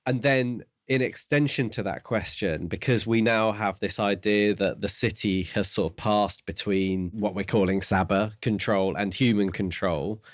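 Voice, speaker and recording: A man's voice: on the low side, steady tone, measured pace, hardly any pauses, no echo, clean studio sound. A man co-hosting a podcast in casual book discussion, tentatively thinking aloud. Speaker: podcast co-host.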